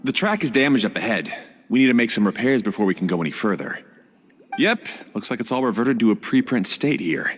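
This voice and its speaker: A man's voice: on the low side, animated, brisk, a bit bright, a little hiss or hum. An actor playing a composed, knowledgeable man guiding adventurers in a video game, explaining things casually. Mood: explaining, focused. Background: music.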